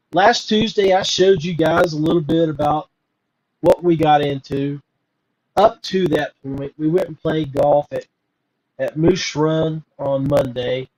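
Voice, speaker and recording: Slow, rambling male podcast host. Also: on the high side, darkish tone, rough recording. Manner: rambling, many fillers and restarts. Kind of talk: casual live podcast talk.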